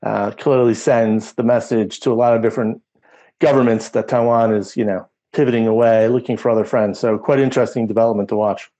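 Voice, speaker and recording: A man's voice: fairly low pitch, steady tone, a little fast, mellow. A man co-hosting a podcast, talking through current affairs in a casual, conversational way. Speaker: podcast co-host.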